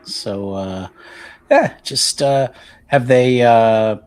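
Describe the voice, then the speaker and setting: A man casually describing his character's actions as a tabletop game player, halting and thinking aloud. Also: mid-range voice, darkish tone. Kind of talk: casual improvised game talk.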